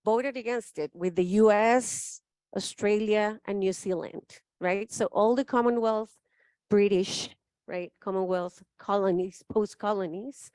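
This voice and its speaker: A woman's voice: medium pitch, a little slow, darkish tone, clean tone, no room sound. Woman speaking at a forum. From Chile. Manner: thoughtful, frequent "right?" tags, emphatic. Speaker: panelist. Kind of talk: spoken forum remarks.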